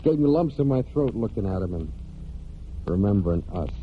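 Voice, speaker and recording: An actor in a 1940s American radio drama playing a brooding young farm man and war veteran, speaking plainly and haltingly in rustic phrases. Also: fairly low pitch, lively intonation, a little hiss or hum, lightly acted. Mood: wistful. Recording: muffled 1940s radio broadcast with music.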